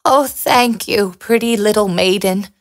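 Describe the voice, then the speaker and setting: A woman voicing a gentle, grateful swallow in a fairy tale, speaking earnestly. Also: medium pitch, unhurried, lightly acted. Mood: weak, grateful.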